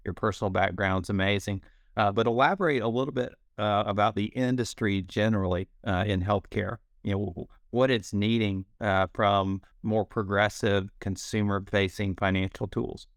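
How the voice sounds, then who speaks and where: Upbeat man hosting, low voice. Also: fairly even intonation, normal speed, no echo, clean studio sound. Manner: upbeat, complimentary. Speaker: podcast host, company CEO. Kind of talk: podcast interview hosting.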